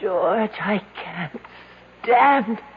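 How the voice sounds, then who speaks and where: A woman's voice: mid-range voice, expressive, drawn-out words. An actress playing a frightened, weakening woman trapped in a cave, pleading insistently in 1970s radio drama style. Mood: weak, despairing. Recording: tinny, hissy 1970s radio broadcast.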